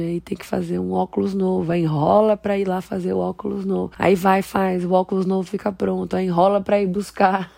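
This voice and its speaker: Brazilian woman podcaster rambling casually, slangy w some english words, fry in her voice, super dry sound. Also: on the low side, darkish tone, no echo. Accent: Brazilian Portuguese. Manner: informal, slangy, self-mocking, drops in English words. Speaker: solo podcast host. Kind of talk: casual confessional monologue.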